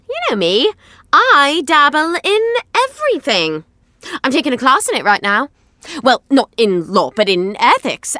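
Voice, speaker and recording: Bright, defensive young woman. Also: high voice, dry recording, clean studio sound, exaggerated voice. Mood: evasive, breezy. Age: young adult. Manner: snappy, sarcastic, evasive. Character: sharp, defensive, rattled young student fiancée. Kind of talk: audio drama acting.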